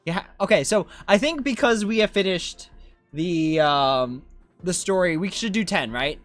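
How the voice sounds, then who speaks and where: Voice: medium pitch, animated, measured pace, fairly bright tone, no echo, faint music behind. A game streamer giving casual live commentary in an informal, self-questioning way. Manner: informal, self-questioning, often ends with "right?".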